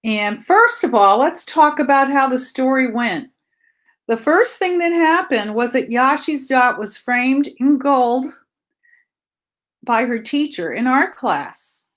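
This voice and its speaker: A woman's voice: high-pitched, lively intonation, slow, fairly bright tone. A woman teacher leading a read-aloud lesson for young children, explaining simply with repetition and posing questions she answers herself.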